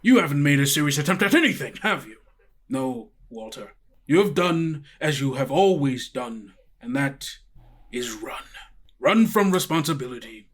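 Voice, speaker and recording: A man's voice: mid-range voice, expressive, moderate pace, lightly acted. A man voicing a stern owl school principal in a tabletop game, formal, condescending and coldly authoritative. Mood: scornful. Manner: formal, haughty, belittling.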